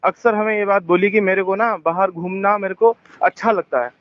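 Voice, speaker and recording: A man with a high voice talks on a phone call, rambling defensively and circling back with fillers and restarts. The recording is dull and muffled, as captured over a phone line. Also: fairly even intonation, a little fast. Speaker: phone caller. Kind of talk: tense phone conversation.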